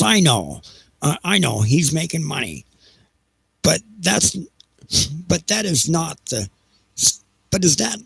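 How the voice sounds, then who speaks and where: Guy doing a whiny exec for an audio play, indignant, halting, kinda raspy, really dry sound. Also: a little high, fairly bright tone, dry recording, pitched above the performer's own voice, full character voice. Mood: defensive. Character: peevish, defensive railroad executive making excuses.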